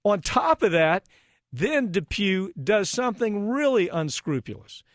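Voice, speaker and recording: A man's voice: sits high, sing-song, a little slow, no room sound, clean studio sound. An American man hosting a radio talk show, speaking emphatically and indignantly with rhetorical questions.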